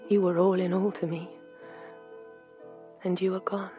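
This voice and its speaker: A woman's voice: lowish voice, level tone, lo-fi sound, lightly acted. An actress playing a grieving young widowed mother in a period radio drama, tender and sentimental. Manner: slow, sentimental, doting. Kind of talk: literary radio drama acting. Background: music.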